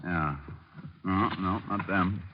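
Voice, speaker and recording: An actor playing a wry, cool-headed American private detective in an old-time radio crime drama, bantering breezily. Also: male voice, deep voice, steady tone, slow pace. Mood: intent. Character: wisecracking, cool-headed male private detective in a spooky mystery. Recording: noisy, muffled old radio recording.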